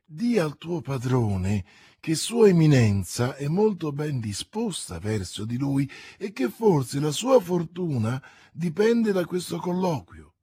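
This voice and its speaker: A high, breathless young manservant voiced by a man, fretful and eager to please as he blurts out his news. His voice is husky and comes across dry and close. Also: very animated, slow, warm tone, no echo, heavily acted. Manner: breathless, exclamatory.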